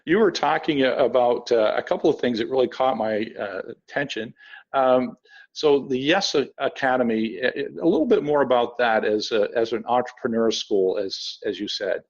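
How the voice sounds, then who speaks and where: A man hosting an interview, asking long, conversational questions in a halting, wordy way. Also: mid-range voice. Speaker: interview host. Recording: slightly dull, lo-fi sound.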